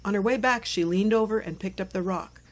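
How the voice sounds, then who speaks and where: A woman reading a children's chapter book aloud as narrator, in clear, simple phrases meant for young listeners. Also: mid-range voice, a little fast. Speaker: storybook narrator.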